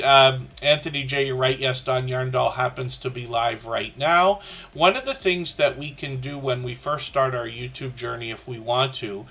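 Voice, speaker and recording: A Canadian man hosts a casual livestream in a bright, forward voice with a slight scratchiness. He rambles amiably, speaking directly to the people in his chat. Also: medium pitch. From southern Ontario, Canada. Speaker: livestream host of a creator community channel.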